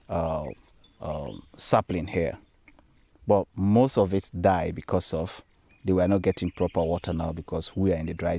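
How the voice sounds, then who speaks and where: A man with a Sierra Leonean accent explains things in an unscripted, instructional way, deliberately and with emphatic repetition. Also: sits low, darkish tone. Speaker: agricultural trainer. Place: outdoors on a farm plantation.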